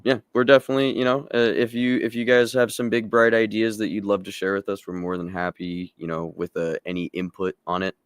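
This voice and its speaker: A low-voiced man chats casually as a podcast co-host. The sound is dry and close, and he talks like a devoted fan, loose with his swearing. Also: little pitch movement, brisk, few pauses, clean tone, dry recording. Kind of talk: casual live podcast chat.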